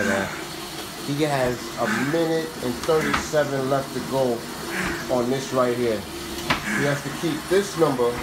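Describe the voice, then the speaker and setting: A man's voice: medium pitch, very noisy. A man coaching people through a machine workout in a small home gym, calling out short repeated commands in casual slang, recorded on a handheld phone. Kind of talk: coaching commands during exercise. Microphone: handheld phone camera.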